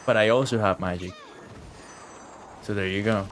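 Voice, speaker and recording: A man's voice: on the low side, fairly even intonation, moderate pace, slight background noise. A man giving casual live gameplay commentary as a streamer, chatty, wry and sceptical. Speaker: game streamer.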